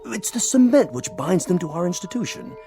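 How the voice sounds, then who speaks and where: An actor playing a college president who is asking for a donation, smooth and gently persuasive in a radio drama. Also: male voice, sits high, very animated, brisk, faint music behind, exaggerated voice.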